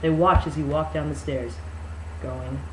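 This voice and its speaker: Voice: mid-range voice, fairly even intonation, a little slow, darkish tone. An amateur reader performs a novel in mock-dramatic style. The delivery is sarcastic and incredulous, with sweary asides. The room echoes and there is faint noise behind the voice.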